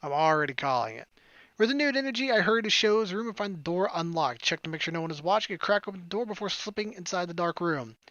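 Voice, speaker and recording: A man's voice: sits high, expressive, fast talker, fairly bright tone, no echo, highs cut off. A man hosting a gaming video, reading visual novel narration aloud with wry, casual asides. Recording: game music behind the voice. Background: game music.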